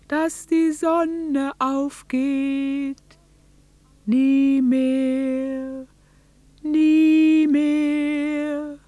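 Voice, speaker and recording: Haunted farm woman, slow incantation. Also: high-pitched, mellow, dry recording. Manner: slow, incantatory, repeating phrases. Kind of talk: radio drama acting.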